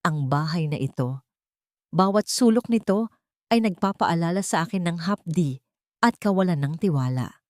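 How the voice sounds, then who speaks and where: A woman's voice: on the low side, expressive, normal speed, pauses often, no room sound, lightly acted. A woman with a Filipino accent voicing a wronged young wife in an audio story, composed, respectful and firm. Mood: calm, resolute.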